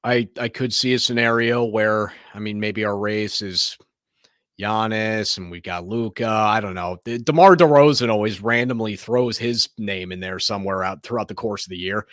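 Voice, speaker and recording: A man hosting a sports show, giving casual, opinionated commentary in a slightly hoarse, raspy voice, rambling and often restarting his sentences. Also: on the low side, normal speed, a bit bright, no echo, studio quality.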